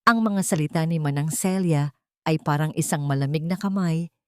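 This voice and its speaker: A woman narrating a scripted dramatic story in a measured, theatrical way. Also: low voice, a little mellow, clear, resonant tone, no echo, clean studio sound.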